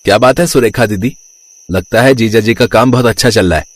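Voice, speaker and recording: A man's voice: lowish voice, brisk, lightly acted. A man voicing a chatty, easygoing young brother-in-law in a cartoon story. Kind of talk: cartoon voice acting.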